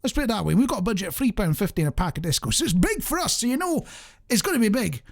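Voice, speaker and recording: Male radio presenter, lively swooping intonation, chatty, jokey, self-deprecating, direct to listener. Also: sits high, very fast, creaky. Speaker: radio show host. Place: radio studio.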